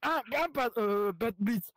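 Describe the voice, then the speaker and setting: A man's voice: high-pitched, expressive, slow, fairly bright tone, dry recording, exaggerated voice, poor audio. A man voicing a bumbling young male imp who hesitates and stumbles over his words. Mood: flustered. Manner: hesitant, stumbling, full of fillers.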